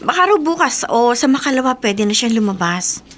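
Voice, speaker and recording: A woman acting an outspoken, skeptical but caring younger sister in a radio drama, with blunt, expressive delivery. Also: on the high side, moderate pace, slight background noise. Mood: worried. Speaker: actor.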